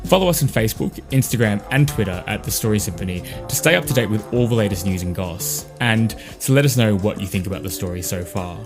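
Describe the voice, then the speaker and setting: Low-voiced male podcast host over music. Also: animated, fast, few pauses. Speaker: podcast host reading credits. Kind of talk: scripted outro announcement. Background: music with guitar.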